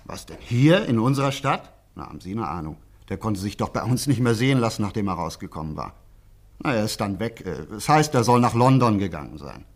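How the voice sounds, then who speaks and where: A man's voice: on the low side, sing-song, a little fast. A man acting an eager, gossipy small-town local in a German radio crime play, talkative and emphatic. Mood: eager, indignant. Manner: talkative, emphatic, indignant asides. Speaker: actor in a radio crime drama.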